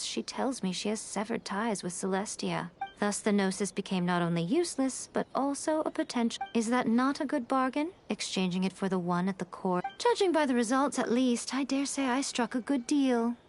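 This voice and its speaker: A woman's voice: a little high, expressive, a little hiss or hum. A woman voicing a sly, poised shrine maiden in an anime game, speaking with coy, teasing formality. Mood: coolly smug. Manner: coy, ornate formal phrasing, unhurried teasing. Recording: game music playing behind.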